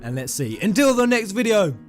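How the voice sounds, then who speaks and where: A man hosting a fan theory video, rambling and emphatic, addressing his viewers directly in an excited commentary style. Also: high voice, fairly quick. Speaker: gaming YouTuber, host. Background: music under the voice.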